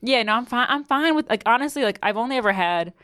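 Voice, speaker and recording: A woman's voice: a little high, quick pace, clean tone, no echo, studio quality. A woman in her late twenties, a comedian guest on a podcast, telling stories in casual chat and acting out quotes, with lots of "like". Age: 20s. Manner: animated storyteller, acts out quotes, many "like"s.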